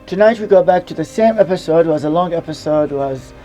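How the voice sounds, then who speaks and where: A woman's voice: on the low side, lively intonation, quick pace, warm tone, soft background music. A woman from Iraq, hosting her TV show in a reflective, rambling monologue with loose grammar. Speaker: TV show host.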